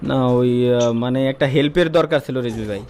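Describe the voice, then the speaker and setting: A man's voice: medium pitch, normal speed, a little mellow. A young man with a Bangladeshi Bengali accent chatting casually on a livestream and repeating his phrases. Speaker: live streamer doing prank calls. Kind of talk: casual livestream chat and prank calling.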